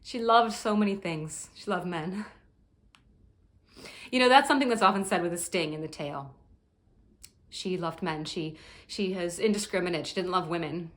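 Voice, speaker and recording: A woman performing a dramatic monologue as a grieving young friend giving a halting, tender eulogy. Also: on the low side, close to an everyday voice. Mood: subdued grief. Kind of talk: dramatic monologue, funeral speech.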